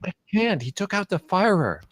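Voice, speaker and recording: A man's voice: sits high, very animated, moderate pace. A man reading a determined young drone-racing team leader in a screenplay, urgent and decisive. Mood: distressed.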